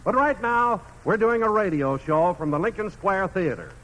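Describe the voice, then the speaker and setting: A male announcer with a booming, formal delivery, trading banter on a 1950s radio comedy show. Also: big pitch swings, normal speed, fairly bright tone. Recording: noisy old radio broadcast.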